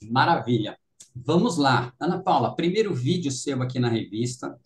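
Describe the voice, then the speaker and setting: Mid-pitched Brazilian man hosting. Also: expressive, normal speed. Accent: Brazilian Portuguese. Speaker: interview host. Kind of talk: casual conversational interviewing.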